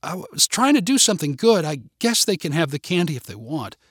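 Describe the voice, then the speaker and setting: A man's voice: a little high, sing-song, fairly quick, a bit bright, husky, no room sound, studio quality, pitched above the performer's own voice, exaggerated voice. A man voicing an earnest young woman who talks like a prim British schoolteacher in a storybook. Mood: flustered. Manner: prim, lecturing, sweet.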